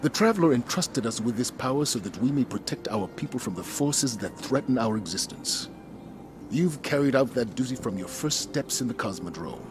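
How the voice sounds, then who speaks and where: A man portrays a dignified commander in a video game, solemn and bound by duty. The mid-range voice is formal and measured yet moves widely in pitch, with music behind it. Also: animated, close to an everyday voice. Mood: solemn, grateful. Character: dignified male military commander, solemn and dutiful. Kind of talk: video game dramatic dialogue.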